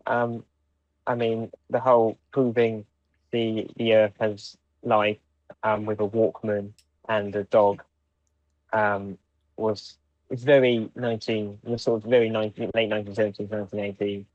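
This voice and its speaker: A man with a lowish voice chats casually as a podcast co-host. The recording is very dry and a little muffled. His talk is conversational review. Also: level tone, normal speed, darkish tone, no echo.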